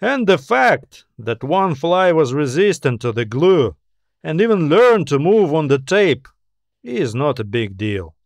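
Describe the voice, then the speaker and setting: A man narrating a science fiction story as a scripted audiobook, in a measured storytelling manner with phrases that trail off. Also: a little high, big pitch swings, no echo.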